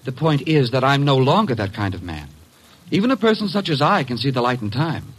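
Young cult leader type on old radio, husky, solemn, earnest and formal. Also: male voice, mid-range voice, big pitch swings, a little fast. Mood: earnest, pleading. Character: earnest, young, prosperous male religious sect leader. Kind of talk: 1950s radio drama acting.

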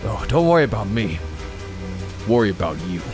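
A man playing a grim, vengeful masked vigilante in a superhero audio drama, speaking in a menacing, deliberate, clipped way. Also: on the low side, sing-song, music in the background.

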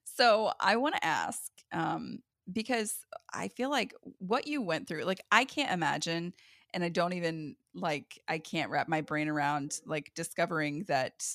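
A woman's voice: fairly low pitch, measured pace, no room sound, clean studio sound. A woman hosting a podcast interview in a casual, chatty way, laughing easily and using lots of "like". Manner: warm, laughs easily, many "like" fillers. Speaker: podcast host.

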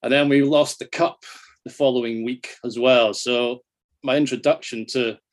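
A man's voice: medium pitch, steady tone, normal speed. A middle-aged Scottish man chatting casually about football as a podcast guest, self-deprecating and happy to swear. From Scotland.

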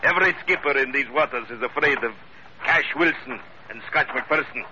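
An actor playing a smug, flattering pirate salvage boss in an old-time radio adventure, speaking formally and wordily with an ingratiating polish. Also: male voice, medium pitch, normal speed, natural voice. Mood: businesslike, cordial. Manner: suave, ingratiating, wordy and formal. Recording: dull, noisy vintage radio recording.

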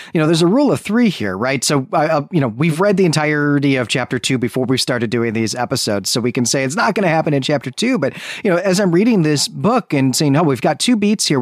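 A man's voice: mid-range voice, dry recording, clean studio sound. A man co-hosting a podcast, casually discussing books, thinking aloud and repeating phrases for emphasis. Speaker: podcast co-host.

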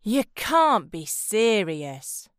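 A woman's voice: medium pitch, very animated, drawn-out words, noisy background. A woman playing a sardonic, impatient, no-nonsense adventurer in a fantasy audio drama, with blunt sarcasm. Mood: irritated, scornful.

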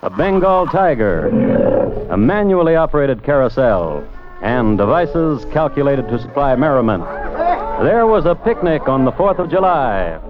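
An actor playing an American narrator of a dark radio tale, with literary, measured phrasing. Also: male voice, mid-range voice, very animated, natural voice. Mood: dramatic. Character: male storyteller of a dark, ironic radio tale. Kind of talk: scripted dramatic narration, classic radio. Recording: dull vintage radio recording, music behind.

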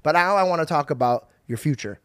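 A man's voice: fairly high pitch, expressive, fast, clear, resonant tone, no room sound, studio quality. A man hosting a casual podcast conversation, joking and teasing his guest and laughing often. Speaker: podcast host.

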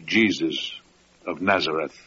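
An actor playing a gentle, pious old gatekeeper with solemn, meek archaic speech in an old-time radio drama. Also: male voice, a bass voice, measured pace, slightly rough, full character voice. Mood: solemn devotion. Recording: muffled, noisy vintage radio recording.

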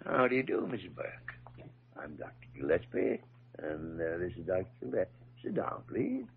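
A man acting an older senior hospital doctor in a 1940s radio drama, gruff but fair, speaking in a halting, folksy, emphatic way. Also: low-pitched, huge pitch swings, background noise, full character voice. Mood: businesslike. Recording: dull old radio recording.

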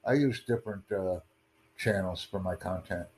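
A man's voice: deep voice, normal speed, a little mellow, slight background noise. A man hosting a casual video chat, earnestly promoting his language course and repeating himself. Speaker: language-learning channel host.

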